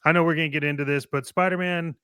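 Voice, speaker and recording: Male talk show co-host, crisp bright voice, casual, opinionated, emphatic, repetitive phrasing, dry recording. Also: on the high side, fairly even intonation, fast talker, dry recording. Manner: emphatic, repeats phrases, blunt with mild swearing. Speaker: co-host of a toy collecting show.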